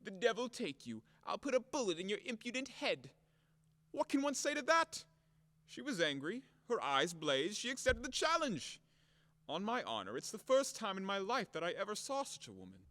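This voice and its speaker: An actor playing a brash, hot-tempered, blunt creditor in an audio play of a classic farce, with a blustering, theatrical, emphatic manner. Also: male voice, high-pitched, very animated, normal speed, bright, forceful tone, no room sound, long reverb tail, heavily acted. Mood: outraged, blustering.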